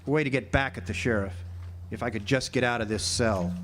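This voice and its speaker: A man's voice: mid-range voice, a little fast, lightly acted. An actor playing a wry, hard-boiled drifter who narrates his own tale in a live noir radio drama. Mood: brooding, wry. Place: live theater stage. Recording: noisy live amphitheater stage recording.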